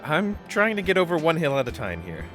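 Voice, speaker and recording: Man playing anxious young space squadron leader, animated. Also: fairly high pitch, fairly quick, music in the background. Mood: nervous, placating. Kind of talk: audio drama acting.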